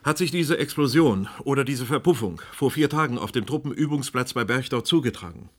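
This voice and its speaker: An actor plays a male radio host in a radio play. He is pointed and sceptical, pressing his guests with insistent questions. His voice sits at a medium pitch. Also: lively intonation, a little fast, close to an everyday voice. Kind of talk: radio play acting as a live discussion host.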